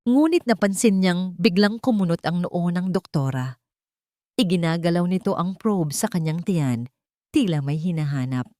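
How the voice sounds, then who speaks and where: A woman reads scripted romantic drama as a storyteller. Her voice sits a little low but moves expressively up and down. The recording is dry, with no room sound. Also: animated, moderate pace, warm tone, no echo.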